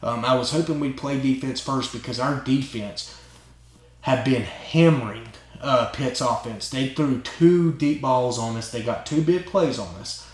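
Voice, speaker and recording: A man hosting his own channel tells a long story in a casual, excited way, rambling and often tagging lines with "right?" and "man". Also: medium pitch, a little slow. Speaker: channel host. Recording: roomy echo.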